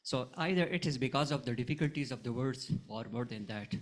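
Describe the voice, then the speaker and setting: Man asking a halting question. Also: medium pitch, expressive. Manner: halting, polite, with many "uh" fillers. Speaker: audience member asking a question. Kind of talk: conference Q&A question.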